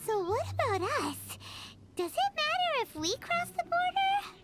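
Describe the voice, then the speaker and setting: A woman voicing a tiny, childlike female companion creature in a video game, squeaky, timid and expressive. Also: measured pace, exaggerated voice. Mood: indignant, questioning. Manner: expressive, refers to self by name. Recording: loud game music behind.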